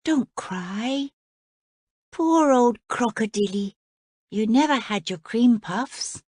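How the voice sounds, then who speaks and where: A prim, well-behaved little princess with a high, lively voice, as a woman reading aloud puts her on. She speaks earnestly and properly, with a soft breathy edge. The sound is dry and close. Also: slow, dry recording, heavily acted. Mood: gentle. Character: prim, polite young princess girl.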